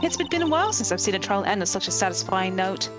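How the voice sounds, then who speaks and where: A woman's voice: mid-range voice, sing-song, fast, music in the background, voice pushed down, lightly acted. A woman voicing a supportive, self-assured female boss in a video game, composed and gracious. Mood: pleased, encouraging.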